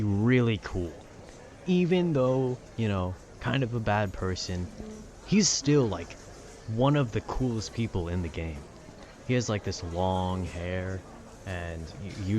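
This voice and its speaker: A young man rambling casually in a nerdy, slangy, meandering way, often trailing off and losing his thread. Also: deep voice. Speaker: audio content creator rambling to listeners. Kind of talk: casual rambling talk about video games. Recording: clear background noise, urban ambience.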